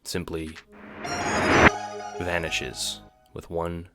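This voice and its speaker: A man's voice: sits low, little pitch movement, extremely slow. A man narrating an audio drama in a dramatic, scripted storytelling style, with music behind him.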